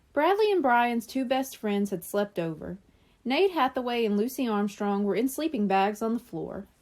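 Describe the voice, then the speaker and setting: A woman narrating a children's story from a script in an expressive way. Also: medium pitch. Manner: expressive character reading. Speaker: audiobook narrator.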